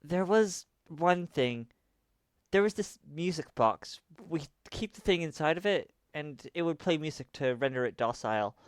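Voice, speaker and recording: An actor playing a guarded, serious man who has faced a monster before, explaining deliberately in an audio drama. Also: fairly high pitch, expressive, clear, resonant tone, dry recording, clean studio sound, lightly acted. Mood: grave, knowing.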